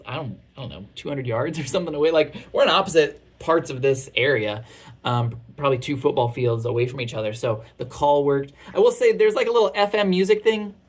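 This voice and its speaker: A man in his thirties giving a casual product review in a chatty, informal and candid way. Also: fairly high pitch.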